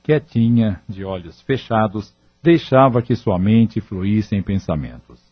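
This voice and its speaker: A man narrates an audio drama from a script. His voice is low and somewhat breathy, and the recording sounds a little dull. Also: expressive, a little slow, no echo.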